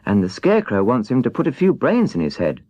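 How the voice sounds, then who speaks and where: A man voicing a plucky, forthright little girl in a children's storybook, earnest and quick to indignation. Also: medium pitch, huge pitch swings, dark tone, no room sound, dull audio. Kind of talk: children's storybook character reading.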